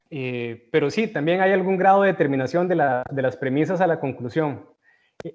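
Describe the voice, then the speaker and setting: A man's voice: a little high, a little mellow, lo-fi sound. A Costa Rican man teaching a class, explaining ideas with everyday examples and often checking in with his students. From Costa Rica. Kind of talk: online tutoring lesson.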